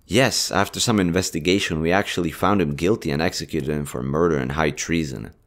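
Man acting a very deep-voiced, tender army general. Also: a little fast. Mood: apologetic, sincere. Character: kind, earnest young male army general, secretly fond. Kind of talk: romantic audio roleplay acting.

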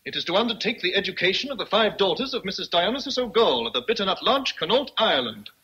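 An actor playing a brooding, teasing British gentleman in love with his governess, speaking deliberately in a period radio drama. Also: high voice, very animated, breathy edge, exaggerated voice, low-quality recording. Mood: mock brisk.

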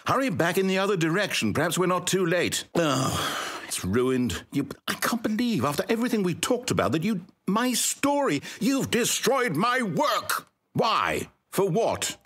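An actor playing a pompous, needy all-knowing video game storyteller, with a British accent and an articulate, wry, melodramatic delivery. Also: male voice, sits high, very animated, measured pace, fairly bright tone, husky, exaggerated voice. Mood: outraged, distraught. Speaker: game narrator. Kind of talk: theatrical scripted narration.

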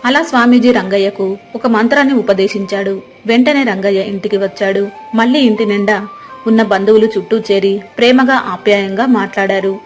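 A woman's voice: mid-range voice, brisk, soft background music. A woman narrator reading a scripted moral story.

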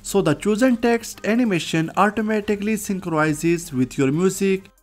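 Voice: mid-range voice, moderate pace. Scripted tutorial narrator over music. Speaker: software tutorial host. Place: voice-over, recorded off screen.

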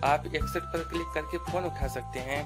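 A man's voice: on the high side, steady tone, brisk. A man giving a step-by-step tutorial voice-over. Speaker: tutorial presenter. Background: loud music.

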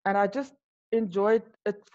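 A woman's voice: mid-range voice, steady tone, unhurried, warm tone. A middle-aged South African woman whose first language is Afrikaans, talking casually as an interview guest with frequent ums and restarts. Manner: hesitant, many ums and restarts. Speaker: wine tour company founder, guest. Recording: dull lo-fi call audio.

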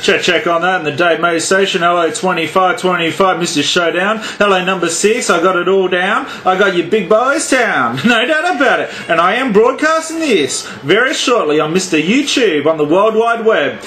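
A man's voice: high-pitched, lively intonation, moderate pace, few pauses, bright, forceful tone. A man working as a CB radio operator, giving showy, repetitive patter full of call signs and slang. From Australia. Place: indoors at a radio set. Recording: clearly noisy room beside a radio. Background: radio noise.

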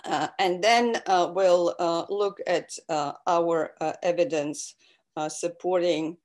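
A woman lectures over a video call in a low voice. She speaks very slowly and fills her pauses with many ums and uhs. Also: steady tone, darkish tone. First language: Russian. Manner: hesitant, many fillers.

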